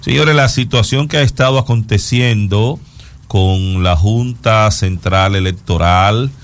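A man with a fairly low voice and a Dominican accent talks through the news as a show host. He explains in a conversational way and repeats words to press his point. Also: unhurried.

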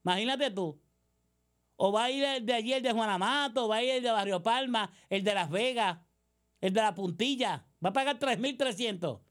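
Puerto Rican woman pundit, sarcastic and accusatory, fairly high voice for the part. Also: moderate pace. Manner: sarcastic, accusatory, fires rhetorical questions. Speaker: political commentator. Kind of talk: combative monologue.

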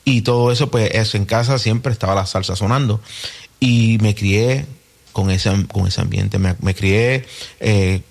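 Man from new jersey, interview guest, relaxed, low voice. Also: measured pace, gravelly. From New Jersey, United States. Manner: relaxed, rambling with restarts. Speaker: musician guest. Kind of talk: casual interview.

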